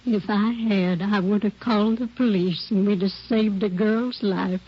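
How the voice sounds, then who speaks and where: Husky female voice, unhurried, prim and curt neighbour played by an actress, vintage radio drama, muffled old recording. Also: mid-range voice, steady tone, close to an everyday voice. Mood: prim, defensive. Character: prim, firm woman neighbour questioned by police. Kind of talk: 1940s radio acting.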